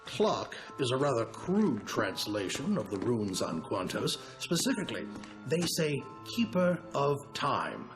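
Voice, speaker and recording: A man voicing a dignified older alien general and mentor in a video game cutscene, speaking in a formal, measured way. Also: mid-range voice, big pitch swings. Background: game score music.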